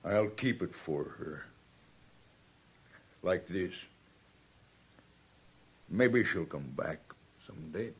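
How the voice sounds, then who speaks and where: A bitter, selfish old rancher father in a vintage radio western. His voice is low and rough, given to volatile swings between anger and brokenness. The recording is dull and old. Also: lively intonation, drawn-out words, pauses often, full character voice. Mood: broken, grieving. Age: older. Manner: volatile, from anguished shouts to broken murmurs. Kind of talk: 1950s radio western acting.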